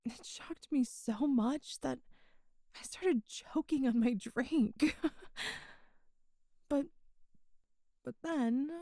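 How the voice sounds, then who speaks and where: A woman acts as an immortal demon goddess in an ASMR roleplay, her high voice mellow and close, with almost no room around it. She speaks tenderly and wistfully, halting softly between phrases like a lover confiding. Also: gravelly, no echo, heavily acted. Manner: slow, halting, intimate, wistful. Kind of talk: intimate ASMR audio roleplay.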